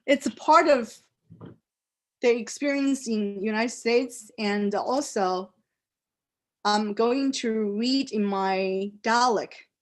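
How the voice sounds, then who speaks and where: A poet whose first language is a Chinese dialect, speaking with a Chinese accent and reading her poems aloud in slow, deliberate phrases with pauses. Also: on the high side. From China. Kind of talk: poetry reading. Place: live reading event.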